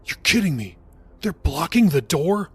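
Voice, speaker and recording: A man's voice: fairly high pitch, big pitch swings, a little slow, fairly bright tone, slightly rough, dry recording, pitched above the performer's own voice, exaggerated voice. A man voicing an anxious, exasperated young man's inner thoughts in a storybook reading.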